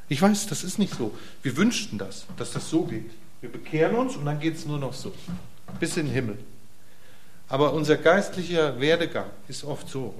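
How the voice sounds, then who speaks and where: A middle-aged man preaching a casual sermon to a congregation in a church, asking them questions and swinging between emphatic bursts and quiet, soft asides. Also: mid-range voice. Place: church hall before a congregation.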